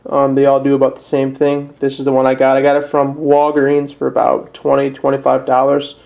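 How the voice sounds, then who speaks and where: A man's voice: medium pitch, completely flat delivery, fairly quick, vocal fry, darkish tone, lo-fi sound. A young man with a Midwestern American accent giving a casual, somewhat hesitant step-by-step tutorial in plain words. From northern Illinois, USA. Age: young adult. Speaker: amateur how-to presenter. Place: indoors at a desk.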